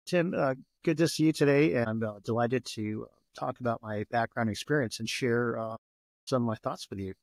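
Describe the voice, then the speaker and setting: A man in his sixties, born in Japan and raised in the US, talking as a podcast guest in a measured way with many pauses. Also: medium pitch, gravelly, no room sound. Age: 60s. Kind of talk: relaxed podcast interview.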